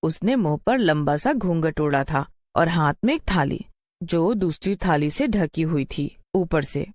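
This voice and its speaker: A woman's voice: deep voice, expressive, measured pace, a little mellow, no room sound. A woman telling a scripted story as narrator.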